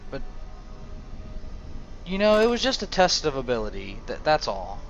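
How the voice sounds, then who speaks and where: A man improvising role-play narration over a video game, phrasing things in a halting, deliberate way. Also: mid-range voice, sing-song, dull audio, soft background music.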